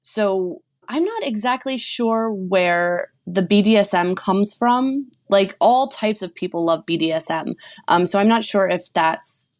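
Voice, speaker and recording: A woman speaking as a relationship coach in a relaxed podcast conversation. She explains her ideas with easy confidence and drops in quick asides. Her voice sits at an ordinary middle pitch for a woman. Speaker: sex and relationship coach guest. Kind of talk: casual podcast chat.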